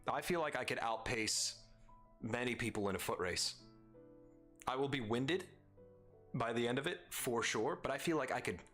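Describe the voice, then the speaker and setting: A man's voice: medium pitch, moderate pace, long pauses, a bit bright, faint music behind. A man with an Arizona accent hosting a live stream, chatting casually with viewers in a playful, teasing, rambling way.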